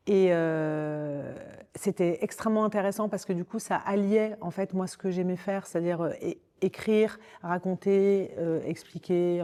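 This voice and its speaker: Low, mellow Parisian woman in conversation. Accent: Parisian French. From Paris, France. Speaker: podcast guest, communications director. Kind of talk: conversational interview, recounting own career.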